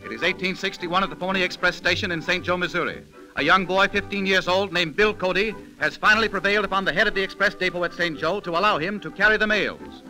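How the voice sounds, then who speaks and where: A man's voice: high-pitched, quick pace, few pauses, low-quality recording. A man giving scripted, dramatic narration in the style of 1930s radio. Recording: 1930s radio recording, music underneath.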